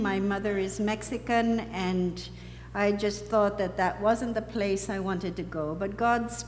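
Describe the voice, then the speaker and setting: A middle-aged woman from Costa Rica telling her personal story as a missionary to a congregation. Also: medium pitch. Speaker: missionary guest. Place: large church sanctuary, seated on stage. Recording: reverberant church hall, faint noise.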